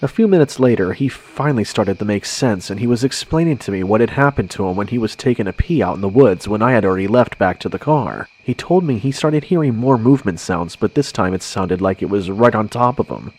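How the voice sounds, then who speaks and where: Low-voiced man narrating a scary story. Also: animated, brisk, hardly any pauses, darkish tone, slightly rough. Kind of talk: first-person scripted horror narration, conversational.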